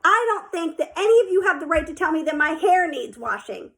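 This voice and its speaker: Woman playing a whiny little girl, high-pitched, weepy, sulky. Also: big pitch swings, a little fast, a bit bright, heavily acted. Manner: bawling, sulky.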